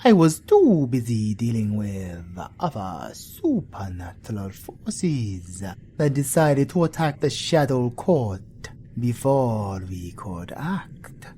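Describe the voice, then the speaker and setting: Suave British male vampire, acted by a man, theatrical flirtatious drawl, breathy, lively swooping intonation, slightly noisy audio roleplay recording. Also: mid-range voice, takes it slowly, hardly any pauses. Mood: measured recounting. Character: suave, teasing British male vampire leader, old mentor.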